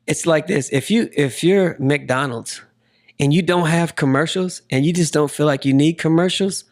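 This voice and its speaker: A man's voice: on the high side, moderate pace. A man in his forties hosting a casual interview, circling toward his point with repeated phrases. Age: 40s. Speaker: podcast host interviewing a guest.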